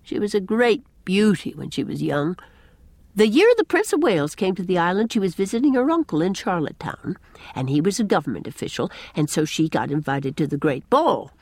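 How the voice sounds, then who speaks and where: A woman voicing a brisk, opinionated middle-aged village spinster in a storybook, with an emphatic, folksy, tart way of talking. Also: on the low side, very animated, a little mellow, slightly rough, no echo, full character voice. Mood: gossipy, confiding.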